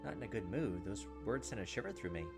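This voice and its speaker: A man's voice: lowish voice, lively intonation, fast, gravelly. A man in his thirties reading visual novel narration aloud and commenting as he plays. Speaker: let's-player. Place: indoor room at a computer, on webcam. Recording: game music behind. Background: game music, insect chirps.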